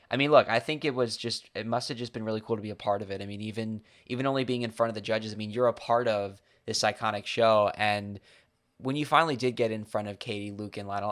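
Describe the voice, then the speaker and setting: A man hosting a podcast interview, relaxed and thoughtful, often restarting his sentences. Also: fairly low pitch. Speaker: podcast host. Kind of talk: casual interview.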